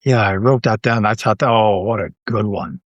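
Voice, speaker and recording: A man's voice: on the low side, moderate pace, no room sound, highs cut off. A man speaking casually as an interview guest, thinking aloud in a rambling way with false starts and repeated words. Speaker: interview guest, audio drama writer and creator.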